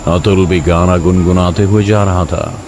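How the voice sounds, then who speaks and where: Very deep-voiced male suspense narrator. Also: fairly even intonation, normal speed, noisy background. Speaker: story narrator. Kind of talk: dramatic scripted suspense narration.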